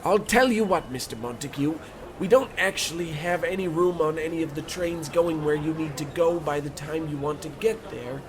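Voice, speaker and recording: An actor playing an eager, folksy, fawning young ticket clerk in an old-time radio comedy. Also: male voice, on the high side, background noise, close to an everyday voice. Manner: chirpy, bootlicking, excitable. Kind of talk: old-time radio play parody acting.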